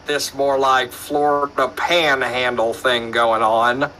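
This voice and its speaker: A man competing in a casual online trivia show, trading joking, animated banter. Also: mid-range voice, takes it slowly, a little hiss or hum, rough recording. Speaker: trivia contestant.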